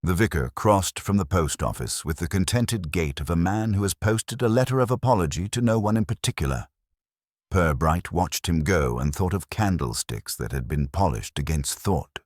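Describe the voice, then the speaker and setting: A man reading a literary detective story as narrator, with a measured, dry and formal delivery. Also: low voice, lively intonation, no echo. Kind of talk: scripted literary audiobook narration.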